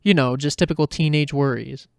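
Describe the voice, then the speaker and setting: An anxious yet determined teenage girl acted out by a man, wry and sarcastic as she talks to herself. The voice sits fairly high, smooth but with a creaky edge, in an audio story. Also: a little fast, no echo, clean studio sound. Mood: nervous, self-soothing. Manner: wry, talking to self. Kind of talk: audio fan fiction character acting.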